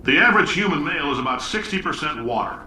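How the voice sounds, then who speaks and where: A man's voice: on the high side, animated, fast talker, sharp tone. An actor playing a brash, cocky, middle-aged American science company boss in a video game, delivering blunt announcements like a salesman. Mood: breezy, reckless. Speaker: company founder and CEO. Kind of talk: recorded facility announcements, salesman-like speech. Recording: echoey PA announcement, distant, faint noise.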